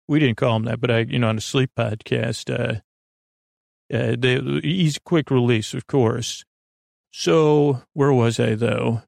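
A middle-aged American man hosting a sleep podcast in a soothing, meandering monologue, halting, interrupting himself and trailing off. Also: medium pitch, creaky, dry recording, clean studio sound.

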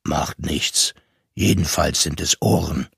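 A man voicing a calm, proud bearded craftsman with a deep, serious voice in a storybook reading. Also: takes it slowly, vocal fry, slightly rough, no echo, clean studio sound, full character voice. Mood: grave, matter-of-fact.

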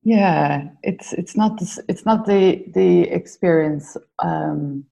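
A woman's voice: on the low side, slow, creaky, mellow. An Irish woman in her forties, a podcast guest, answering questions with frequent ums and restarts. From Ireland. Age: 40s. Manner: hesitant, many ums and restarts. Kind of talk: casual interview answers.

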